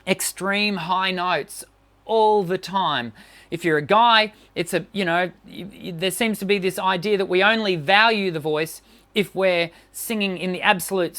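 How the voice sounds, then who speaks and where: A woman's voice: medium pitch, fairly bright tone. A woman voice teacher talking through a live-stream singing lesson, chatty, with many fillers and restarts. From Western country.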